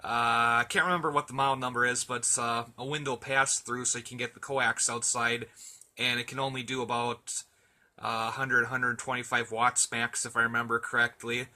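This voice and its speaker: A man's voice: mid-range voice, level tone, normal speed, bright, forceful tone. A man in a casual radio chat, talking in an easygoing, chatty and hesitant way as an amateur operator.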